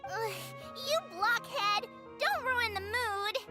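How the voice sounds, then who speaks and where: Tiny high-pitched earnest creature girl. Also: slow, over loud music. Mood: annoyed. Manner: earnest, polite, childlike. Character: small, earnest, hopeful young female creature, cute and determined. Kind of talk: animated video game voice acting.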